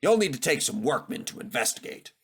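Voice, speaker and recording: A man's voice: mid-range voice, big pitch swings, brisk, slight background noise. A man voicing an authoritative railway controller in a children's story, giving orders in a businesslike way. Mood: commanding. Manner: commanding, businesslike.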